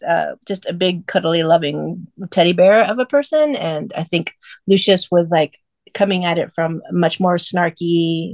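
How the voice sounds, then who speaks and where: A woman's voice: lowish voice, no room sound. A woman co-hosting a casual fan chat, feeling her way toward the right words. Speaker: podcast co-host.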